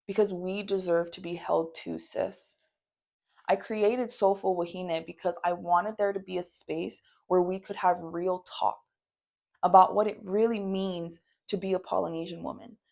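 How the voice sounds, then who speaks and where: A woman's voice: on the low side, fairly even intonation, a little slow, vocal fry, darkish tone. A young woman from Maui hosting her own podcast, talking in an earnest, affirming way and often adding "you know". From Maui, Hawaii. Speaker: podcast host and therapist. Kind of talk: heartfelt solo podcast monologue.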